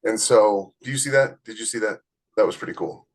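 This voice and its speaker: A man's voice: lowish voice, brisk. A man hosting a podcast, explaining things from experience in a casual, conversational way and talking straight to the listeners. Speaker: podcast host, self-published author.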